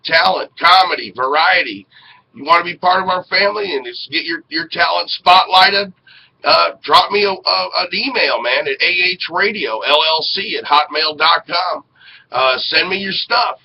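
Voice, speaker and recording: A man's voice: a little high, normal speed, creaky. A middle-aged man hosting a web radio show in casual, folksy, effusive talk to the camera on a headset. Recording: dull lo-fi webcam audio with noise.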